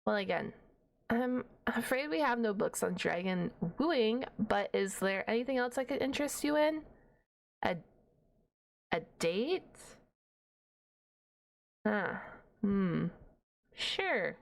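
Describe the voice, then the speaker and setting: A woman's voice: fairly high pitch, lively intonation, slow, gravelly, long pauses, heavily acted. A woman voicing a shy, nerdy, flustered half-dragon librarian in an ASMR roleplay, hesitant and rambling with awkward humour. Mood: awkward, apologetic.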